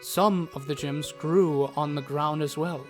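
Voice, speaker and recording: A man with a slightly high voice narrates a fantasy tale at a slow pace. His wording is formal and story-like, and music plays softly beneath him. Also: fairly even intonation. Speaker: narrator, in character as a travelling doctor. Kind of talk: scripted first-person fantasy audio drama narration. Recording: music bed under narration.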